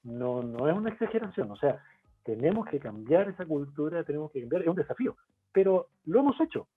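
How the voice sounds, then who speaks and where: Chilean man, guest expert, fairly high husky voice, animated delivery, phone-like video call line with faint music. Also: a little fast. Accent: Chilean Spanish. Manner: explanatory, lively, lists points with rhetorical questions. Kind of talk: webinar interview.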